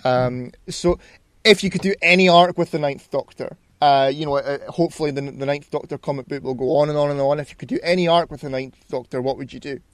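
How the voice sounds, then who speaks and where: A man's voice: fairly high pitch, fairly even intonation, brisk, dry recording. A man hosting a podcast interview in a casual, chatty style, with a keen fan's enthusiasm.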